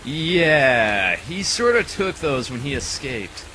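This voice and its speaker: A man's voice: medium pitch, sing-song, slow, bright, forceful tone, slight background noise, exaggerated voice. A man playing a polite, wisecracking military subordinate in a comedic anime parody dub. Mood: wry. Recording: music behind the voice.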